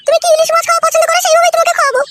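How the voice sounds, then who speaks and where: A man's voice: very high voice, level tone, rapid-fire, very noisy. A man voicing a hospitable, proud village housewife in a comic cartoon, chatty and inquisitive. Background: music.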